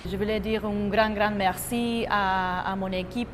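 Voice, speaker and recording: A woman from Argentina, her first language Spanish, speaking slowly and stopping often to find her words as she describes her dance work. Her voice sits at an ordinary pitch. The recording has a long hall reverb and a faint ambient hum underneath. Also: fairly even intonation. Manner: halting, searching for words with many fillers. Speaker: choreographer. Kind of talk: interview testimony.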